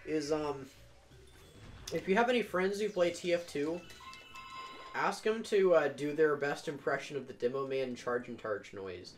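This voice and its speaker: A man's voice: fairly high pitch, measured pace, faint music behind. A young man chatting casually while playing a video game with a friend, joking and laughing often. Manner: joking, giggly, animated. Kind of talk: casual gaming chat.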